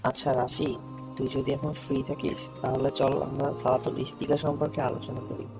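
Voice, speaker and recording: Man voicing a friendly cartoon student, young, earnest and explanatory, mid-pitched, music underneath. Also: flat delivery, moderate pace, natural voice. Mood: earnest, instructive. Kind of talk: scripted cartoon dialogue.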